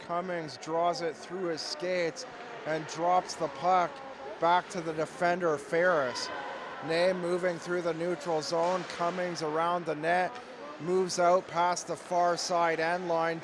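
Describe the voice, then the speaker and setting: A man with a cold, hoarse and losing his voice, calling ice hockey play-by-play in a steady, measured way from an arena. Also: a little high, noisy background. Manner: steady, measured call that climbs to shouting on goals. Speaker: play-by-play commentator.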